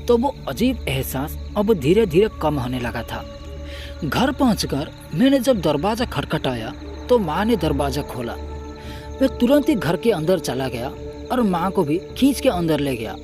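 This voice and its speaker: Higher-pitched man telling a horror story. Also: a little mellow. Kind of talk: dramatic scripted first-person horror storytelling.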